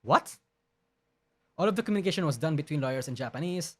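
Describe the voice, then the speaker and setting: Argumentative, slightly high-voiced male streamer. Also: animated, fast, darkish tone. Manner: emphatic, argumentative, with frequent restarts; blunt and profane. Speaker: live streamer. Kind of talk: live-stream commentary reading and rebutting a document.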